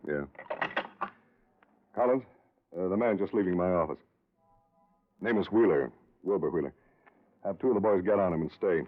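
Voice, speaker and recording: An American actor playing a gruff, curt, businesslike state police captain in 1950s radio crime drama. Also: male voice, deep voice, animated, normal speed, pauses often. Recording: thin, narrow-band old radio recording.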